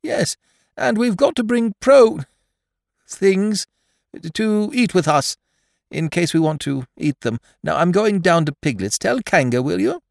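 Innocent, dim but friendly storybook bear, pitched high with very animated, eager delivery. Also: male voice, a little slow, vocal fry, no room sound, studio quality, exaggerated voice. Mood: eager, muddled. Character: naive, friendly, slow-witted little male bear. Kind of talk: children's storybook reading.

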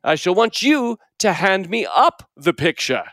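A man's voice: high voice, sing-song, a little slow, a bit bright, dry recording, studio quality, exaggerated voice. A man voicing a fussy, bossy middle-aged man in an affected British accent, ordering everyone about.

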